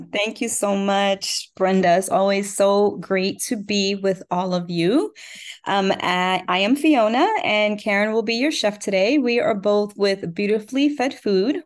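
A woman co-owner of a food business, presenting in a friendly way on an online session. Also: medium pitch. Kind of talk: webinar presentation.

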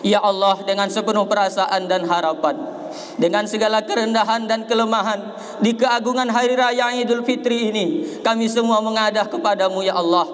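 A young man preaching an Eid sermon and prayer from a pulpit outdoors, impassioned and rising to shouts on key lines. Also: high voice, steady tone, moderate pace, big-room sound.

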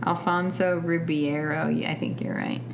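A woman co-hosting a casual podcast as the superfan, chatty and quick to laugh as she fills in details. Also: low voice, little pitch movement, background noise. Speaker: podcast co-host, superfan of the show. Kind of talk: casual chat.

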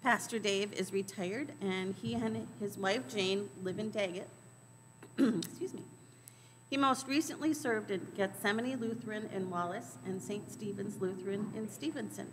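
A middle-aged woman at a lectern microphone reads the weekly church announcements, measured and steady, her voice at an ordinary middle pitch. The big sanctuary gives her words a long trailing reverb, with a faint hiss of noise underneath. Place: large church sanctuary, indoors.

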